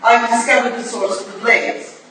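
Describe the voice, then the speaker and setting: A woman's voice: on the high side, fairly even intonation, moderate pace, pushed and bright. A woman playing a shrewd, formal older female detective in a staged reading of a Victorian drama, with a clipped, imperious manner. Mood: coolly analytical. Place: live staged reading in a roomy indoor venue. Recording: echoey hall from far off, noisy.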